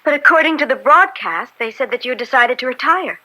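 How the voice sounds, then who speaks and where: Worried young daughter in old radio play, highish bright voice, hesitant n pleading. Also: female voice, lively intonation, a little fast, exaggerated voice, poor audio. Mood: anxious, insistent. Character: anxious, devoted young daughter of a judge. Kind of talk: radio drama acting.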